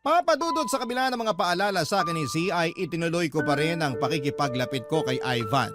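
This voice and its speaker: A man's voice: on the high side, expressive, fast talker. A man narrating a scripted first-person story as a radio storyteller reading out a letter. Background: background music.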